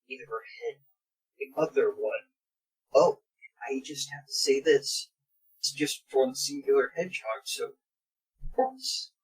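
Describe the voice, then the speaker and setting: Husky man with wildly swinging pitch. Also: big pitch swings, unhurried, pauses often, rough recording. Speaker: reader on a bad fanfiction show. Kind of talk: casual joking read-along.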